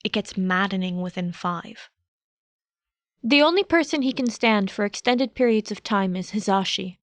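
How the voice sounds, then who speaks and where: A woman's voice: mid-range voice, animated, normal speed, no room sound. A woman reading a story aloud as scripted narration. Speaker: podfic narrator.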